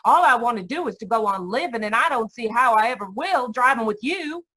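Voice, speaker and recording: An actress playing a brash, indignant young hitchhiker in an old-style radio drama, sassy and emphatic. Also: female voice, on the high side, lively intonation, brisk, pushed and bright. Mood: fed up, scornful. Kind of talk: 1940s radio drama acting. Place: remote live performance over video call.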